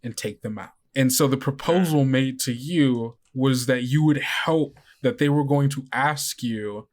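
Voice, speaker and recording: A man's voice: medium pitch, a little slow, a little mellow. A man running a tabletop role-playing game, narrating the world and explaining the rules to players. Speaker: game master of an actual-play podcast.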